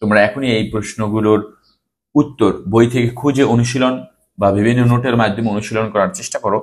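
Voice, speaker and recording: A man's voice: on the low side, fairly even intonation, fast. A man with a Bangladeshi accent teaching an exam-preparation lesson, reading questions aloud and instructing students, repeating key phrases for emphasis.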